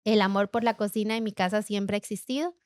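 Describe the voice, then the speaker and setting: Middle-aged woman, interview guest; clear resonant voice, dry studio sound, unhurried hesitant storytelling. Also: medium pitch, steady tone, dry recording. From Bolivia. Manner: warm storytelling with frequent "eh" hesitations. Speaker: guest, business co-founder. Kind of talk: casual interview chat.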